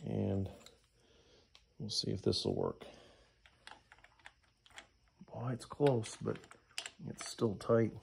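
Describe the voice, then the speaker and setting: Low, gravelly man muttering as he works. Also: fairly even intonation, very slow, pauses often, slight background noise. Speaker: DIY engine rebuilder giving a how-to. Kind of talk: casual hands-on tutorial, talking while working. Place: indoor garage workshop.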